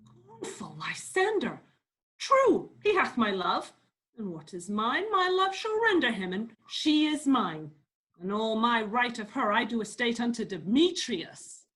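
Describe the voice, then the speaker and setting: A woman's voice: a little high, big pitch swings, a little slow, fairly bright tone. A woman playing a stern, indignant old father in a declamatory Shakespearean table read. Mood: scornful. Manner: declamatory, emphatic listing. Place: remote video call reading. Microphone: video call.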